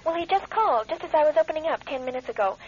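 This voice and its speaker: A polite female secretary with a high voice, talking quickly and earnestly. She comes over a muffled telephone line in a radio drama. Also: animated. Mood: apologetic, urgent. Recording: dull, slightly noisy telephone line.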